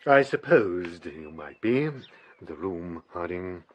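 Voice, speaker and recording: Radio drama casting: commanding, pompous Victorian English cleric, animated intonation, slightly muffled sound. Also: male voice, low-pitched, slow pace, slight background noise. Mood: frosty. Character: brisk, imperious, suspicious Victorian archdeacon, scornful of newcomers. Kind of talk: literary radio drama acting.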